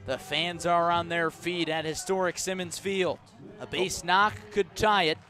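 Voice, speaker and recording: A man's voice: high-pitched, steady tone, a little slow, sharp tone, faint music behind. A man doing live baseball play-by-play on the radio in clipped, descriptive phrases that build with the action, outdoors at a ballpark. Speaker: play-by-play sports announcer.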